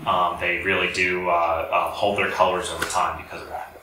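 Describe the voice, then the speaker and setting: Low-voiced American man in an echoey room. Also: level tone, normal speed, sharp tone. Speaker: company presenter taking audience questions. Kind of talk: informal lecture question-and-answer. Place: echoey lecture room with an audience. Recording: roomy, echoey hall, distant mic, faint noise.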